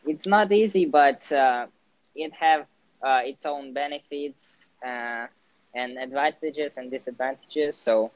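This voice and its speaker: A teenage man with a Russian accent, a student living abroad, speaking English hesitantly with many pauses and fillers. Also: medium pitch, no echo, rough recording. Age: teens. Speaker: interviewee, exchange student. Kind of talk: casual conversation.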